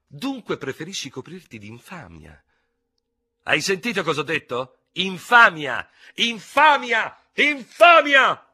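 A man voicing a furious, hot-headed small Gascon cavalry lieutenant, sputtering, hissing and shouting in an audiobook story. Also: lowish voice, fairly even intonation, a little fast, hardly any pauses, breathy edge, no room sound, clean studio sound, pitched above the performer's own voice. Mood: enraged.

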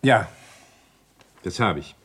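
An actor in a German radio crime drama playing an accused doctor, restrained, terse and precise. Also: male voice, on the low side, animated, takes it slowly, a bit bright, breathy edge, heavily acted. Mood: guarded.

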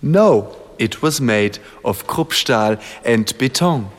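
A man's voice: mid-range voice, lively intonation, takes it slowly. An actor playing a jolly, mock-earnest showman in a radio comedy sketch, exaggerated and didactic, mixing English with broken German. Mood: mock serious. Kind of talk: stagey language-lesson comedy sketch dialogue. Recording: long reverb with music behind.

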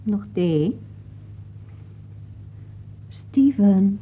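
A woman's voice: mid-range voice, lively intonation, very slow, slightly rough, faint music behind, exaggerated voice. A woman playing an anxious, inquisitive wife of a writer in a Dutch radio play. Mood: attentive. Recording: muffled, noisy old radio play recording.

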